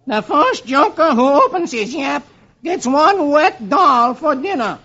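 A man's voice: very high voice, big pitch swings, a little slow, sharp tone, highs cut off, exaggerated voice. A man voicing a hapless, boyish young soldier, exclaiming his way through an old radio comic-strip reading. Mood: defensive. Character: hapless young male soldier, easily exasperated. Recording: band-limited old radio recording.

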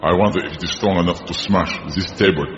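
Deep, pompous, vengeful ancient spirit. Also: male voice, fairly even intonation, quick pace, fairly bright tone. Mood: grandly menacing. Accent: foreign. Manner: pompous, menacing, archaic formal speech. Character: vengeful centuries-old burgermeister spirit possessing a man's body. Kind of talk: comedy audio drama acting. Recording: dull, muffled, long reverb.

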